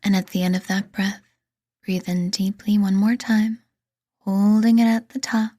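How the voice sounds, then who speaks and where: A woman reading a scripted guided meditation in a soothing, deliberate manner, leaving pauses between phrases. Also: mid-range voice, fairly even intonation, mellow, no echo, clean studio sound. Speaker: meditation guide and podcast host.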